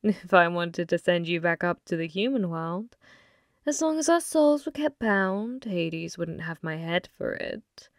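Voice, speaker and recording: A woman voicing a flirty, possessive female demon bartender in an intimate ASMR roleplay, teasing and unhurried, sometimes slipping into whispers. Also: fairly low pitch, animated, no echo, clean studio sound. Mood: teasing, confident.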